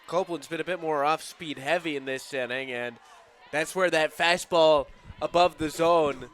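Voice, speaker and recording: A man working as color commentator on a live softball broadcast, explaining the plays in an analytical way. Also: fairly high pitch, normal speed.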